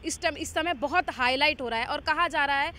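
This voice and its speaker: Bright, very high-voiced woman interviewer. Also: brisk, slight background noise. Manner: polite, frequent brief acknowledgements. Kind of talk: news interview questioning.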